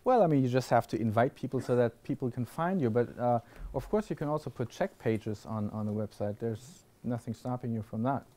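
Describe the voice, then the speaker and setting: A man's voice: medium pitch, a little fast, few pauses, mellow. A man on a conference panel answering audience questions, often restarting sentences with fillers. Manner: thoughtful, many restarts and fillers. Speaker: panel speaker. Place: classroom lecture hall with audience.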